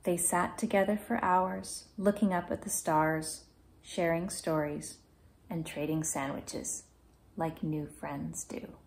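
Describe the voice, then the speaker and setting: A woman in her thirties reading a children's picture book aloud in a gentle, measured, clearly phrased way. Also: deep voice, fairly even intonation, a little hiss or hum. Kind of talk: children's storybook read-aloud. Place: small indoor room at home.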